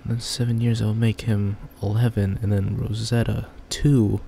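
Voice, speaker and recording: A man's voice: lowish voice, level tone, unhurried, vocal fry, warm tone, slight background noise. A young man playing a game casually, reading its text aloud and joking about it. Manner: chatty, reads text then jokes about it. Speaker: gamer.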